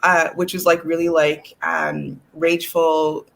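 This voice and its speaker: A woman's voice: low voice, unhurried, vocal fry. A woman from Toronto with a Canadian accent, talking casually and candidly as an interview guest, often saying "like" and acting out what others say. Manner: candid, animated quoting of others, frequent "like". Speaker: guest, artist and curator. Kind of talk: casual conversational storytelling.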